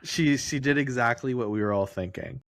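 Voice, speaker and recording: A man's voice: mid-range voice, fairly quick, fairly bright tone, no room sound. A man co-hosting a film podcast, talking casually and circling his way through his explanations.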